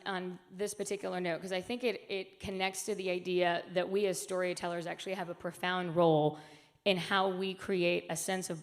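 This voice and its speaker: A woman speaking earnestly at a conference, with emphatic phrasing and frequent fillers. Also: fairly low pitch. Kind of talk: keynote talk. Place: conference hall.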